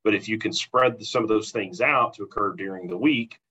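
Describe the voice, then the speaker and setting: An American man speaks in a fairly low voice with a bright, forceful edge. He explains things agreeably and conversationally, as one professional to another. The audio is somewhat dull and lo-fi. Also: fairly quick, breathy edge. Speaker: college athletics sponsorship professional.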